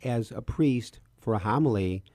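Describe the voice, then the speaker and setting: A man's voice: medium pitch, lively intonation, normal speed, dark tone, no room sound, clean studio sound. A middle-aged or older man, a priest chatting as a radio host and often restating his phrases. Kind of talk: conversational radio talk.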